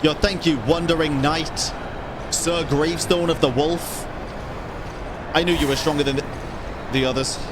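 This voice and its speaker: A man's voice: on the high side, steady tone, moderate pace, pauses often, noisy background. A young man giving casual gaming reaction commentary, slangy, profane and exclamatory. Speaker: streamer reacting to a game. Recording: game music behind the voice.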